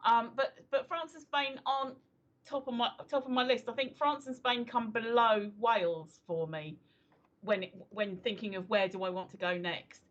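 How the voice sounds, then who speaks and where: A woman's voice: fairly high pitch, moderate pace. A woman around fifty chatting casually as co-host of a livestream talk show, hesitant and full of ums. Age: 50s. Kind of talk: casual chat. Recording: slightly dull lo-fi audio.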